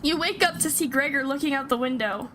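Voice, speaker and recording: A woman streaming a game, reading the story aloud and breaking in with excitable reactions. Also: high voice, a little fast, a little hiss or hum. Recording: loud music behind the voice. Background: loud game music.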